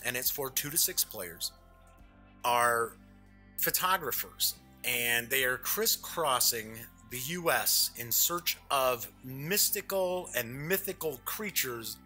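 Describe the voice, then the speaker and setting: A man's voice: a little high, sharp tone. A middle-aged man hosting a casual live unboxing show, chatty and jokey, often wandering off on small asides. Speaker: show host.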